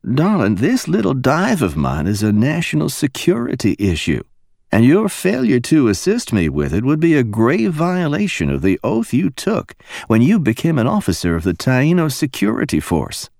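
A man voicing a poised woman security officer who speaks softly and persuasively in a silky Alabama drawl. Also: mid-range voice, wildly swooping pitch, normal speed, vocal fry, dry recording, clean studio sound, pitched above the performer's own voice, heavily acted. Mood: silky, insistent. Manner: silky, soft-spoken.

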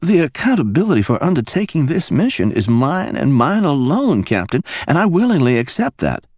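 A man's voice: fairly high pitch, very animated, moderate pace, dry recording, pitched above the performer's own voice. Mickey, a man voicing a silky Alabama-drawled officer. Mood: silky, insistent. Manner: silky, soft-spoken. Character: poised woman security officer, coolly persuasive.

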